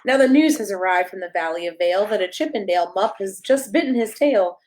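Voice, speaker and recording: A woman's voice: mid-range voice, animated, a little fast, a little mellow. Woman reading a playful children's story. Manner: playful, sing-song storybook delivery with emphasis on rhymes. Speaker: pastor and storyteller reading aloud. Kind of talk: expressive read-aloud of a rhyming children's picture book.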